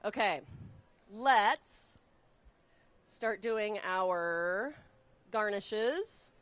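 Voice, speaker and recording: A woman chef raised in Texas, explaining a recipe step by step while cooking on a live show, chatty and quick to laugh. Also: mid-range voice, animated, a bit bright, smooth. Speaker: guest chef. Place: studio kitchen.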